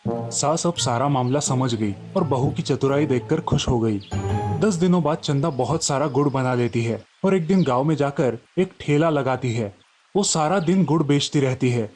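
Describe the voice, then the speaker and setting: A man's voice: medium pitch, moderate pace, a little mellow. A man telling a children's story as a scripted narration, over background music.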